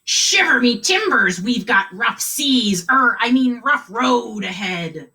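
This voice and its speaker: A woman voicing a boastful, gruff male pirate bus driver who talks in pirate slang and growls "arg". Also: a little high, animated, takes it slowly, pushed and bright, far from the mic, heavily acted.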